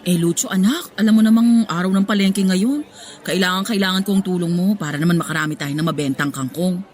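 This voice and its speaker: A woman's voice: fairly low pitch, quick pace, a little mellow, close to an everyday voice. A woman playing a hard-working, exasperated mother who sells vegetables, pleading and scolding in a Tagalog radio drama.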